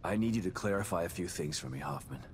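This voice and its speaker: A man playing a gruff, weary, determined ex-detective in a survival horror game, speaking tersely and sardonically. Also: deep voice, expressive, fast, noisy background. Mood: bitter.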